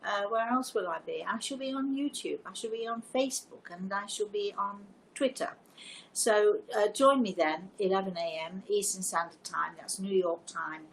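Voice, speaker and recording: An older woman hosting a casual livestream, chatting to viewers in a deliberate way with emphatic repetition. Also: mid-range voice, rough recording. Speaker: live show host and storyteller. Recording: noticeable background noise.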